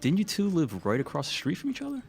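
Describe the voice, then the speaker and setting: A man's voice: fairly high pitch, huge pitch swings, fast talker, gravelly, darkish tone, heavily acted. A man playing an easygoing, plain-spoken young guy in a naturalistic audio drama, talking casually. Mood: curious. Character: easygoing young man confiding past events.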